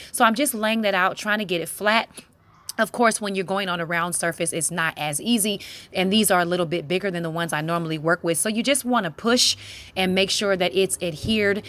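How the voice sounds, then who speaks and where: American woman, middle-aged, playful and informal craft vlogger, mid-pitched voice. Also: fairly bright tone. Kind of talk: casual craft tutorial voice-over.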